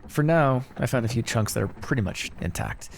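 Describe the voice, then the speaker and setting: A man's voice: medium pitch, animated, brisk, creaky, long reverb tail, slight background noise. A man playing a pragmatic, chatty necromancer in a casual, wry ASMR fantasy roleplay. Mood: easygoing, confident. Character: pragmatic, chatty male necromancer, matter-of-fact and ambitious. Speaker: roleplay voice actor. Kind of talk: ASMR fantasy roleplay, one-sided dialogue.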